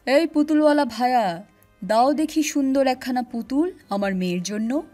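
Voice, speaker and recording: Woman as an indulgent, loud village father. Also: sits high, animated, moderate pace, faint music behind. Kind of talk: animated children's story.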